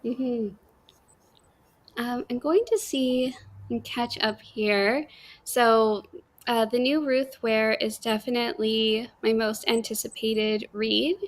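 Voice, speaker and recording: A woman's voice: a little high, fairly even intonation, slow. A woman hosting a casual, conversational chat in an informal livestream style. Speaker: host of a reading livestream.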